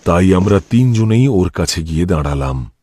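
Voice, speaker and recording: A man's voice: sits low, animated, normal speed, heavy background noise. A man narrating a scripted first-person horror story for a cartoon. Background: music.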